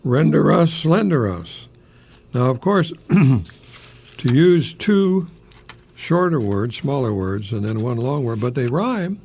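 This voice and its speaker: An older man with a husky, creaky voice talks on the radio at an unhurried pace. He is whimsical and self-deprecating, halting as he goes, somewhere between rambling and reciting verse. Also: mid-range voice, lively intonation, a little mellow. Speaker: radio host, retired professor. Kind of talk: rambling humorous radio monologue with poetry readings.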